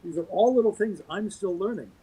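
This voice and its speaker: A man's voice: fairly quick, dark tone, slightly rough, low-quality recording. A middle-aged man, a podcast guest, holding forth at length with emphatic, swooping delivery. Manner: emphatic, wide pitch swings, talks at length. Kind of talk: podcast interview, opinionated monologue.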